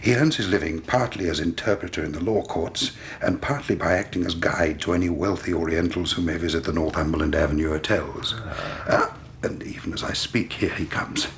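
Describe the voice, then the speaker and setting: An actor playing a genial, indolent and superior British gentleman sleuth in a period radio drama, urbane and self-assured. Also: low voice, brisk, a little hiss or hum. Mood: leisurely, assured.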